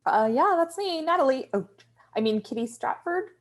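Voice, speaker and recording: A woman's voice: high voice, animated, moderate pace. A woman playing a hesitant, appeasing young wife in a table read, speaking tentatively. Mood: nervous.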